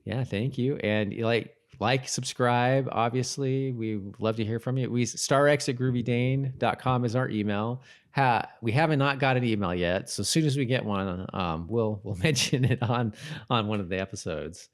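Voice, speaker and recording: A man's voice: mid-range voice, moderate pace. A man co-hosting a podcast in a casual, conversational style. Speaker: podcast co-host. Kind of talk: casual chat.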